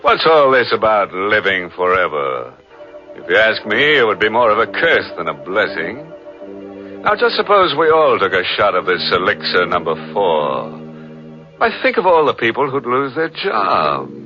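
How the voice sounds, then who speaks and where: A man's voice: lowish voice, wildly swooping pitch, normal speed. A man hosting a 1940s radio mystery show, joking with wry, ghoulish humor. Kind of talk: 1940s radio host patter. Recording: dull old radio recording with music.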